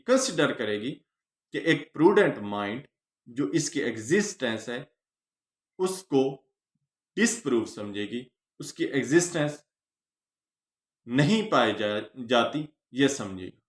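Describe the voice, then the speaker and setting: A man with a Pakistani accent giving an explanatory law lecture, teaching phrase by phrase with pauses. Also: medium pitch, lively intonation, a bit bright. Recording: echoey room.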